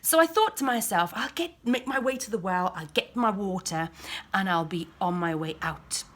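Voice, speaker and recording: A woman's voice: mid-range voice, expressive, normal speed, a little hiss or hum, natural voice. A woman performing a first-person dramatic monologue as a weary outcast Samaritan woman, confiding and dropping to whispers. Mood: recounting. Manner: confiding, shifts between loud cries and hushed whispers.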